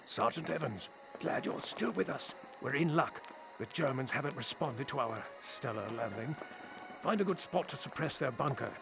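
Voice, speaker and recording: An actor playing a calm army captain in a war game, giving clipped, confident orders. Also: male voice, medium pitch, normal speed, gravelly, over music, rough recording. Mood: briefing. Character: calm British army captain, commanding officer. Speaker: British paratrooper captain. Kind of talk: game cutscene voice acting.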